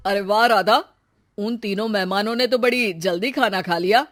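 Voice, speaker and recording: A gullible village husband from a cartoon tale, performed by a woman. The voice sits mid-range and is loud, commanding and quick to scold. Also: mid-range voice, close to an everyday voice. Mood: surprised.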